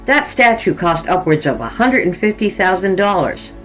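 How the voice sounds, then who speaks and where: A woman's voice: medium pitch, very animated, moderate pace, soft background music, voice pushed up, lightly acted. Woman reading as a stern elderly busybody woman. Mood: indignant. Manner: blunt, indignant, self-important. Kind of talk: storybook dialogue reading.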